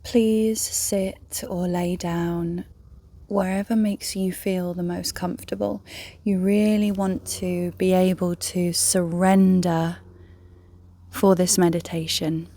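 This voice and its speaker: Woman, meditation guide, gentle and soothing, breathy near-whisper, mellow tone, flat calm pitch, long pauses. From England. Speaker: meditation guide, yoga teacher.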